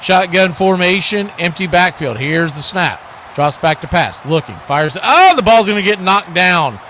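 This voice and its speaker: A man's voice: high voice, lively intonation, normal speed, sharp tone, slight background noise. An American man calling football play-by-play for radio, hosting in clipped announcer phrases. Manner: announcer delivery describing action in clipped phrases. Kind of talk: live American football commentary and station promos.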